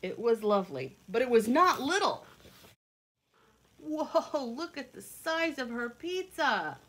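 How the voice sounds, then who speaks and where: A woman in her thirties reading a picture book aloud and explaining it to children in simple, expressive, teacherly phrases. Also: on the high side, slow pace. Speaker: children's read-along teacher. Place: indoors at home, kitchen and a room.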